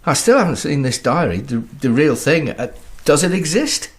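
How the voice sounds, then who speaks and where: A man acting a drunk, anxious husband in a radio thriller, slurred and gravelly, rambling through halting questions and suspicions. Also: on the high side, very animated. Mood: confused, agitated. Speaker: actor in an audio drama.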